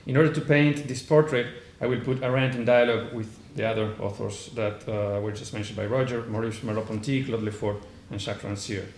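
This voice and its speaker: A man with an Argentine accent delivers a philosophy paper at a conference, his voice sitting a little low. He reads from notes, hesitating often and restarting words. The recording carries the echo of a large room, with a faint hiss of noise underneath. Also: fairly even intonation, fairly quick, few pauses, darkish tone. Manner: hesitant, many "uh" fillers and restarts. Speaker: conference panelist. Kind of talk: academic lecture read from notes.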